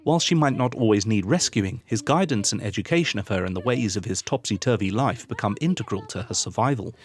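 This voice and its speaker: Male essayist, lower register, formal and articulate scripted analysis. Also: animated, fast. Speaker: video essay narrator.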